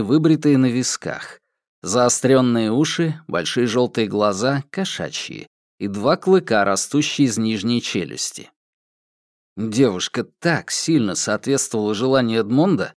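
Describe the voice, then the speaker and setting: Expressive male audiobook narrator, very lively intonation. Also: mid-range voice, no echo, studio quality. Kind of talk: scripted fiction narration.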